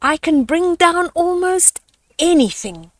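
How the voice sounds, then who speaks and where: A woman's voice: very high-pitched, big pitch swings, takes it slowly, no echo, distant mic, voice pushed up, heavily acted. A woman voicing an eager male spotted hyena who brags about himself in a children's storybook. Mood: eager bragging. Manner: eager, self-promoting.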